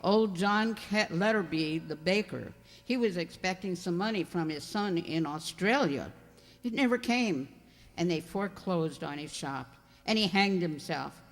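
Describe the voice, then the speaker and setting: A woman's voice: on the low side, a little slow. A woman playing a gossipy, callous servant at an inn in a radio mystery play, matter-of-fact and disapproving. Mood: gossipy, unfeeling. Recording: reverberant hall-like sound.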